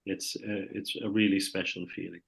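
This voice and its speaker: A man's voice: deep voice, level tone, moderate pace, vocal fry. A man co-hosting an online event, speaking in a friendly way with stumbling restarts over a video call. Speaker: co-host. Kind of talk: casual chat on an online event.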